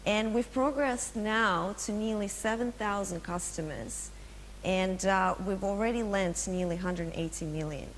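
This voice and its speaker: A woman's voice: mid-range voice, unhurried. Russian-accented woman presenting in a hall. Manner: many fillers and restarts, engages audience with questions. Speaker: conference speaker. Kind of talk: business presentation. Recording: long hall reverb, faint hum.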